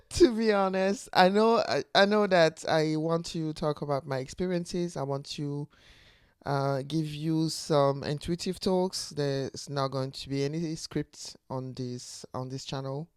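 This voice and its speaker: A woman's voice: sits low, takes it slowly, smooth, no room sound, studio quality. A woman in her forties from Ivory Coast, talking to camera in an unscripted, reflective way as she searches for her words. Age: 40s. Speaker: channel host, teacher. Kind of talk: unscripted personal talk to camera.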